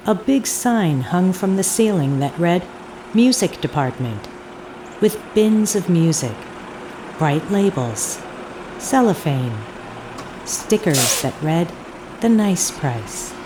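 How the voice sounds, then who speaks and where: An actress playing a haunted audio tour guide in a fiction monologue, speaking deliberately and haltingly, with insistent repetition. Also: female voice, lowish voice, mellow, slight background noise. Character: eerie, haunted woman guiding an audio tour. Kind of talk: dramatic second-person audio fiction monologue.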